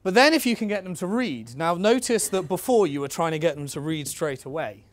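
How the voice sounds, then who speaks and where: A man's voice: a little high, animated, fairly quick. A man giving an informal instructional talk as a presenter, conversational and coaching, speaking directly to his listeners.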